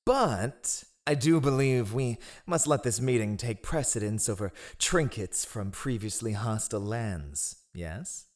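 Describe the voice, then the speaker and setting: A sly and ruthless royal spy master , played by a male voice actor, in a fantasy audio drama. His silky, formal speech swings widely in pitch, sardonic and mockingly courteous. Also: expressive, unhurried, hardly any pauses, darkish tone, close to an everyday voice. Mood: smoothly courtly. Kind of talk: fantasy audio roleplay acting.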